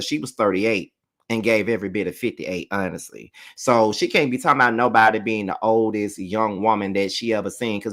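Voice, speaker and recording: A man in his thirties giving a casual, animated reality TV recap, slangy and full of swearing. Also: fairly low pitch. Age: 30s. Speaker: reality TV reviewer. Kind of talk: casual live recap commentary.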